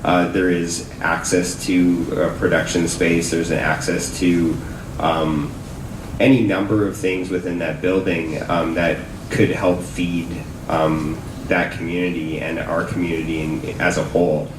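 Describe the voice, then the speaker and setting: Deep-voiced man on a gallery panel. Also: little pitch movement. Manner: halting, many fillers. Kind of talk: panel discussion. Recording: roomy, distant mic, noisy.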